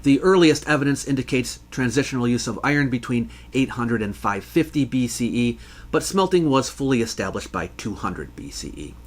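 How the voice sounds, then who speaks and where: A man hosting an educational show, reading scripted explanatory narration in a measured, scholarly way. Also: medium pitch, lively intonation. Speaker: host answering viewer questions.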